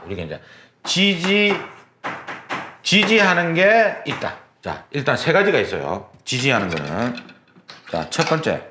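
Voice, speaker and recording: Animated male instructor. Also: fairly high pitch, unhurried, pauses often. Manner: animated, repeats key terms, demonstrative. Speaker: exam prep instructor. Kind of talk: recorded lecture. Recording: roomy lecture recording, faint noise.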